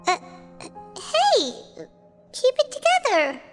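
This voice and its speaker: A tiny, excitable fairy sidekick from a video game, squeaks at a childlike, sky-high pitch. The delivery is bossy and wildly swooping, with drawn-out words over loud music. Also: female voice, full character voice. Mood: scolding.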